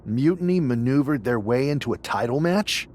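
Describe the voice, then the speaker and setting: Guy playing doubtful wrestler champ, normal pitch, earnest and skeptical game dialogue, noisy background. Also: lively intonation, moderate pace, darkish tone, natural voice. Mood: wary.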